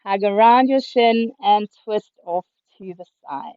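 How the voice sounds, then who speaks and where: A woman yoga teacher, a little hoarse, calmly cueing breath and movements through a class over a video call. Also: medium pitch, a little slow, no room sound. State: slightly hoarse. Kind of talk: guided yoga instruction. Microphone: computer mic on a video call. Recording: dull, lo-fi video call audio.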